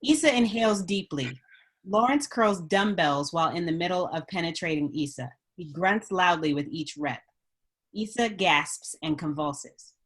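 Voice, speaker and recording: A woman's voice: fairly low pitch, slightly rough. A woman reading screenplay stage directions as a narrator at a script table read.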